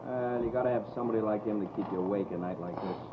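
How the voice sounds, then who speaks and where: A bored, grumbling American cop on the night shift , acted by a man. His voice is on the low side and laconic, like casual old radio drama, through a dull recording with clear hiss. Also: rapid-fire, close to an everyday voice. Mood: bored, sardonic.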